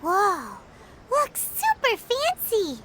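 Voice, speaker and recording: A woman voicing a tiny, chirpy and excitable fairy girl companion in a video game, with a squeaky childlike voice. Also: extremely slow, noisy background, heavily acted. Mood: delighted. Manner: refers to self by name, exclaims eagerly. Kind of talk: video game character acting. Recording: loud music bed behind voice. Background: game music.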